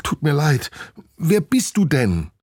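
Man doing a surprised chimp girl in a kids' story, questioning and level-headed, slightly husky and dry. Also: on the high side, huge pitch swings, slow, a little mellow, no room sound, pitched above the performer's own voice, heavily acted. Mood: puzzled, thoughtful. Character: schoolgirl turned into a chimpanzee, startled and practical.

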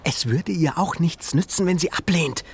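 A man's voice: on the high side, normal speed, husky, pitched above the performer's own voice. A man voicing a confused, nervous young boy in a storybook reading. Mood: uneasy.

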